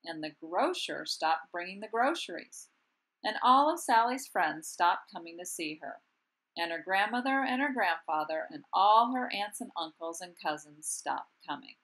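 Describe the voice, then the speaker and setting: A woman reading a children's picture book aloud as a teacher would, with deliberate emphasis on key words. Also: fairly low pitch, expressive, fairly bright tone, poor audio. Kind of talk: children's storybook read-aloud. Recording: voice over background music. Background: instrumental music.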